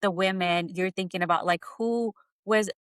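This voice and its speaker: A woman's voice: mid-range voice, fairly even intonation, moderate pace, a bit bright, smooth, no echo, studio quality. A woman in her thirties hosting a casual coaching interview in a conversational style. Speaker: podcast host and coach.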